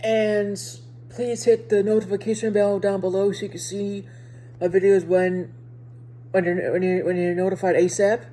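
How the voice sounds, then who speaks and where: Woman, video reviewer, dark mellow tone, mid pitch, speech impediment, halting delivery, repeated phrases, casual. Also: flat delivery, a little hiss or hum. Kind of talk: casual episode review to viewers.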